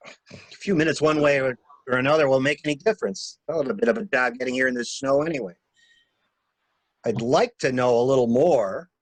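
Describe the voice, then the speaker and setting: A man's voice: mid-range voice, brisk, dry recording, lightly acted. A man playing a talkative, flustered stranded engineer with a polite, stammering, theatrical manner in a murder mystery reading. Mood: calmly inquisitive. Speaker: actor in an amateur library reading.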